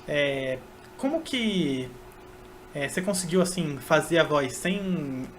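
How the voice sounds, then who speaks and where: A Brazilian man hosting a casual podcast interview, speaking in a hesitant, halting way as he asks questions. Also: fairly high pitch, lively intonation, a little hiss or hum.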